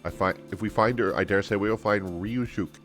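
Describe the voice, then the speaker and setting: A man's voice: on the low side, brisk, lightly acted. Man playing a polite, formal fantasy game mascot keeper, earnest and anxious, music behind. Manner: formal, old-fashioned politeness.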